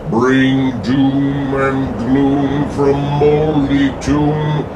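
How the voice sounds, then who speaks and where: Slow, grandiose ghostly villain, noisy recording. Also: male voice, medium pitch, little pitch movement. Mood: commanding, ominous. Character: ancient evil ghost villain, grandiose and menacing. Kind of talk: pulp radio melodrama acting. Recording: distant mic with loud humming noise.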